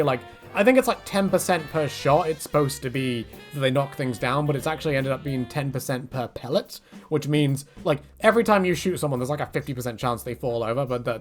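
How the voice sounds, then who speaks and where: A man casually answering viewer questions in a rambling way, with many fillers and restarts. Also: medium pitch.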